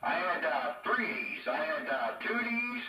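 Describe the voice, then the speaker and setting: Guy talking radio gear, real bright forceful voice, echoey and kinda off the mic. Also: a little high, big pitch swings, normal speed, recorded from a distance, soft background music. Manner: folksy, drawling, colloquial. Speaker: CB radio hobbyist. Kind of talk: casual chat about radio gear. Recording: echo-effected, roomy sound from off mic.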